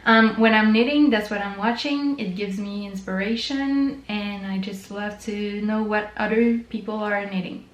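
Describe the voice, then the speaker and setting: A woman's voice: mid-range voice, fairly even intonation, moderate pace, hardly any pauses, reverberant, muffled recording. A woman with a French Canadian accent, hosting her own knitting channel in a chatty, informal way with lots of fillers. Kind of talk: casual chat to camera.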